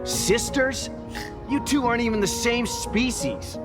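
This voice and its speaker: A man's voice: very high voice, unhurried. An actor playing a scornful young male local in a video game, speaking in a sneering, taunting manner. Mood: threatening. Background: game music.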